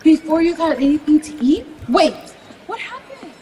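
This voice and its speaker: A woman's voice: high-pitched, big pitch swings, normal speed, dark tone, slight background noise. A woman playing a gracious but easily flustered society hostess, polite and coaxing, in a radio drama. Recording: long hall reverb, music underneath.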